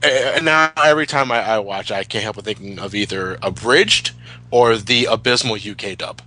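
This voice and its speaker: Bright-voiced man in rambling fan chat. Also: on the low side, normal speed, poor audio. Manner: profane, stammers and repeats words. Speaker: commentary host.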